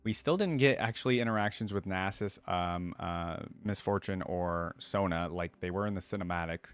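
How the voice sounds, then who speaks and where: A man's voice: low voice, normal speed, clear, resonant tone. A man hosting a reaction video, talking casually and rambling informally as he muses, close to the microphone.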